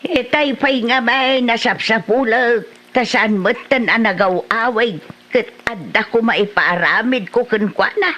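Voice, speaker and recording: A woman's voice: a little high, a little slow, a bit bright. A woman playing a scolding, exasperated village mother in an Ilocano comedy drama, emphatic, with a northern Philippine accent. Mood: curious, wary.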